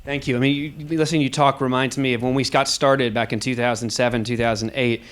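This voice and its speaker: Man moderating a discussion, halting delivery. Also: medium pitch, level tone, a bit bright. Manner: halting, repeats words as he forms thoughts. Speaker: host, panel discussion moderator. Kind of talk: moderating an audience discussion after a performance. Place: live event venue, before an audience.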